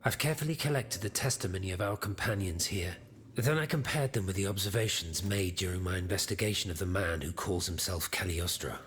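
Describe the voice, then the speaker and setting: A man voicing a grave, learned and devout inventor in a video game, solemn and deliberate. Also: deep voice, fairly bright tone. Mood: grave, troubled. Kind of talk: video game cutscene acting.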